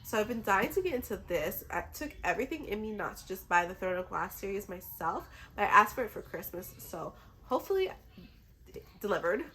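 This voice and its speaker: A woman's voice: mid-range voice, very animated, brisk, sharp tone, husky. A woman book vlogger talks casually to camera with a mid-range voice. She is a little under the weather but sounds chatty and enthusiastic, keeping things informal. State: a little sick.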